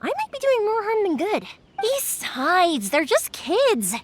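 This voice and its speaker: A woman voicing a spirited, cheerful young fireworks maker in a video game, chatty and expressive, with playful earnestness. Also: very high voice, slow pace, exaggerated voice. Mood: earnest. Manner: chatty, expressive, casual and emphatic. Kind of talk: animated video game voice acting. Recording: background music under the voice.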